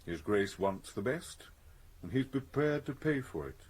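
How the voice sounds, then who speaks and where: An actor playing a formal, faintly menacing envoy of a Victorian duke in a radio drama, clipped and imperious. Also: male voice, medium pitch, big pitch swings, unhurried, darkish tone, husky. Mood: brusque. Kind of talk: period radio drama acting.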